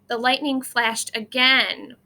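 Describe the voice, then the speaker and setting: Bright-voiced woman reading a storybook slowly. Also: on the high side. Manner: expressive, acting out dialogue and sound words. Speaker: children's story reader. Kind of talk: scripted storybook read-aloud for children.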